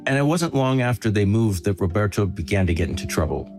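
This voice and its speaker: A man working as a reporter, explaining a story in a measured, conversational news podcast style. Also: fairly low pitch, lively intonation, music in the background. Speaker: reporter telling an investigated story to a host.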